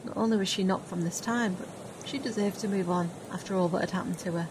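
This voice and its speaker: A woman plays a brisk sorceress of limbo, formal yet caring, at a middle pitch. A faint rumble sits behind the audio drama recording. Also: steady tone, natural voice. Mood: solemn.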